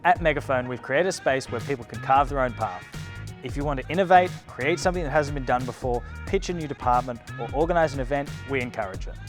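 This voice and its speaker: A man with an Australian accent and a medium-pitched voice presents a scripted recruitment message. He speaks as a company presenter over a music bed. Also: fast talker. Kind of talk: scripted recruitment video narration.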